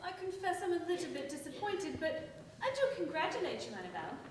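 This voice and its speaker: A politely envious, disappointed relative from a radio play, acted by a woman. The voice is high and sounds far from the microphone in a reverberant hall. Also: big pitch swings, brisk. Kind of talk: staged radio play acting. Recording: echoey hall, distant mic, faint noise.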